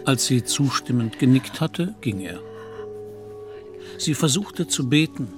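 A man's voice: medium pitch, lively intonation, takes it slowly, music in the background. A man narrating a literary radio drama in scripted storytelling style.

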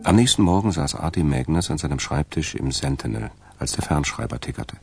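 A man narrating a radio drama from a script, in a deep, gravelly voice. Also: expressive, brisk, music in the background.

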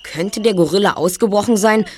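A male actor playing an analytical, sceptical boy detective, speaking deliberately and a little formally in an audio drama. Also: high voice, a little mellow. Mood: sceptical, probing. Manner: deliberate, reasoning, slightly formal. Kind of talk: German audio drama dialogue.

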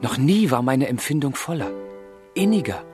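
An actor playing a passionate, lovesick young gentleman in a German radio drama, with a fervent, confiding delivery and a husky voice. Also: medium pitch, lively intonation, moderate pace, over music, natural voice. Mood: rapturous, tender.

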